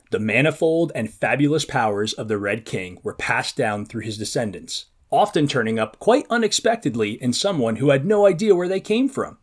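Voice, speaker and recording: A man with a very lively, swooping delivery and a medium-pitched voice. He hosts a read-aloud show for young readers in an upbeat presenting style, leaning on words for dramatic effect. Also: slightly rough. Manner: expressive, dramatic emphasis with drawn-out words. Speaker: host of a children's book preview show.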